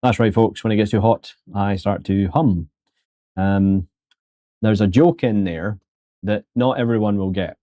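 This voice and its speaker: A man with a fairly low, mellow voice talks his viewers through a sculpting session on a live stream. He is chatty and easygoing, cracks small jokes and calls his viewers folks. The sound is close and dry. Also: a little slow, no echo. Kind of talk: casual live-stream tutorial commentary.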